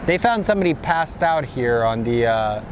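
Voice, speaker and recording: A man's voice: medium pitch, big pitch swings, moderate pace. A man with an American accent, a native Serbian speaker, chatting casually and jokingly as he hosts a live stream. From United States. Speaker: livestream host. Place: outdoors near an airport. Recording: loud outdoor airport and traffic noise.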